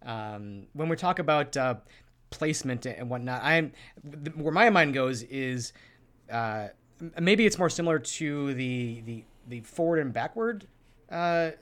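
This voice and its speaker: Male podcast co-host, mid-pitched, casual, thinking aloud tentatively. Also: animated, a little slow, fairly bright tone. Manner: tentative, self-deprecating about his technique.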